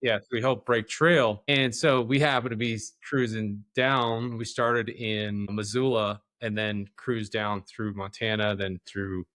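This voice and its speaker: A man hosts a casual interview podcast in a fairly low voice. The sound is dry, with very little room in it. He phrases things loosely and informally, like everyday chat. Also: steady tone, measured pace, few pauses, fairly bright tone, no echo. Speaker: podcast host.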